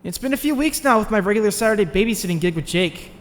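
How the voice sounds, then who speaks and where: An earnest, nerdy teenage boy acted by a man speaks in a high, animated voice. He sounds anxious and expressive, telling his story in a dubbed comic, with long reverb around him and music underneath. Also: fast talker. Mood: thoughtful, uneasy. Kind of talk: dramatized comic dub, dialogue and first-person narration.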